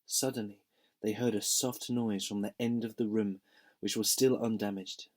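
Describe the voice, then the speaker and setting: A slightly hoarse young man reading a children's book aloud in a friendly, gentle manner. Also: fairly low pitch, steady tone, normal speed. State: slightly hoarse from a sore throat.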